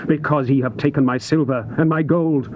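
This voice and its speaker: A solemn prophet of the Old Testament acted by a man proclaims God's word. His voice sits fairly high, with grand, emphatic phrasing. It comes from a dull old cassette with some hiss. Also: fairly even intonation, moderate pace, natural voice. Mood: stern. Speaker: actor reading the Bible. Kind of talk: dramatized scripture reading, theatrical declamation. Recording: muffled, noisy old cassette tape.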